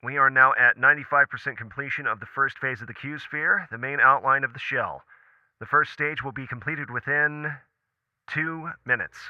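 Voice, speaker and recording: A man playing a tense, procedural technical controller in a sci-fi audio drama, with a crisp, announcing delivery. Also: medium pitch, normal speed, dry recording, lo-fi sound, lightly acted. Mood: official, announcing. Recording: dry, band-limited radio-like sound.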